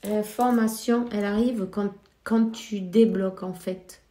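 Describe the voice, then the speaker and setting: A woman's voice: mid-range voice, fairly even intonation, dark tone, echoey room. A woman with a cold giving casual spiritual advice as a card reader, hesitant and full of fillers. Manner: slow, hesitant, many fillers. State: has a cold.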